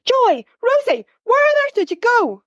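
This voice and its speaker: A woman reading aloud, voicing a caring mother of two who is gentle at heart and quick to worry. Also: extremely high pitch, very animated, no echo, studio quality, voice pushed up, exaggerated voice. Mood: scolding. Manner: soft and gentle, then sharp when worried.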